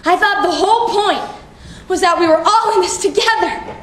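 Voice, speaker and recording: A young woman playing a flustered, insecure student making a halting, self-conscious speech on stage. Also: very high-pitched, fairly bright tone. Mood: embarrassed, unhappy. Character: flustered, insecure schoolgirl giving an unprepared speech. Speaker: student actor. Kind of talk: theatrical stage monologue. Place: theatre workshop performance space. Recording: echoey room from far off, faint noise.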